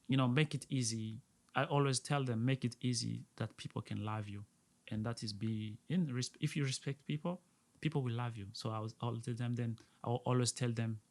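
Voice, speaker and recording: A middle-aged man from Burundi, a restaurant owner talking earnestly as an interview guest, often repeating words to stress a point. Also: mid-range voice. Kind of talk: casual interview talk.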